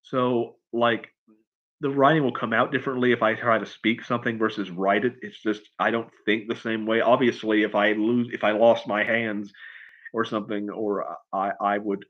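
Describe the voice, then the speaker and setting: Low-voiced man, halting livestream chat. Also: muffled recording. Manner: halting, repeats and restarts phrases often, rambling. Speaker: writer hosting a livestream Q&A. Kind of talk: casual unscripted chat, answering viewer questions.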